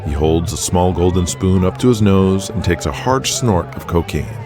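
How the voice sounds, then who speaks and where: A man hosting and narrating an audio drama podcast in a steady, measured storytelling manner. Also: sits low. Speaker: narrator and host. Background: music.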